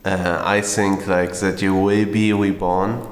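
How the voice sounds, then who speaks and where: A man's voice: low voice, little pitch movement, slow. A young man in his twenties from Germany, speaking English as a guest and musing thoughtfully. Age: 20s. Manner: thoughtful, many "like" fillers. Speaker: podcast guest. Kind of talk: casual discussion. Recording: echoey hall.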